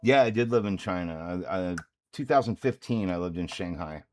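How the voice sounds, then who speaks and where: Deep-voiced man chatting while painting. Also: normal speed, faint music behind. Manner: relaxed, rambling, chuckles often. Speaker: miniature painter and streamer. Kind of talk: casual livestream chat while painting.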